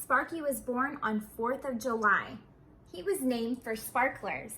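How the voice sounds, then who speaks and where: A woman reading a children's storybook aloud, with deliberate, sing-song, expressive phrasing. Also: a little high, slight background noise, rough recording.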